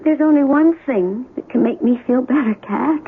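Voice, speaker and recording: An actress playing a devoted, possessive young American military wife in an old-time radio drama, intimate and insistent in manner. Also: female voice, sits high, normal speed, breathy edge, narrow, tinny audio. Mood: hurt, pleading. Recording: band-limited old radio broadcast, faint music.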